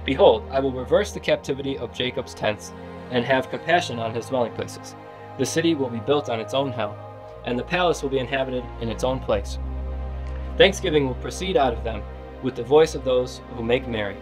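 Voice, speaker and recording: Male scripture reader, mid-pitched, with clear music underneath. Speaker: Bible reader. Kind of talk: scripture reading.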